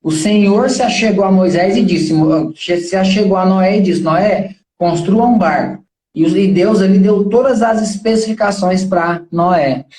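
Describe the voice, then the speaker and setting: A man's voice: high-pitched, a little slow, darkish tone, reverberant, low-quality recording. A man in his thirties with a Brazilian accent, giving an informal motivational talk in an earnest, preachy and colloquial way, often posing rhetorical questions.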